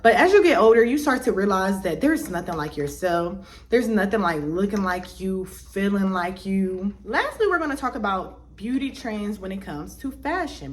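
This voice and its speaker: A young woman talks straight to her viewers in a casual vlog. Her voice sits at a middle pitch for a woman, and her speech is loose and chatty, full of fillers and restarts as she works through her thoughts. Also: slight background noise. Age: 20s. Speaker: vlogger.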